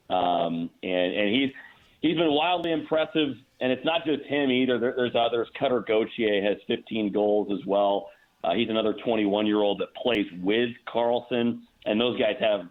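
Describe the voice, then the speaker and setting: A man, a play-by-play announcer appearing as a guest, talks through hockey analysis conversationally over a remote call. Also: fairly low pitch. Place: remote call into a radio show. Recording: tinny, narrow-band phone line.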